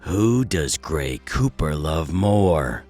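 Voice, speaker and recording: Very deep, menacing possessing spirit. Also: male voice, big pitch swings, extremely slow, a little mellow, faint music behind, exaggerated voice. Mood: taunting. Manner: taunting, theatrical. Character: sneering evil spirit possessing a man's body, menacing villain. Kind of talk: audio drama acting.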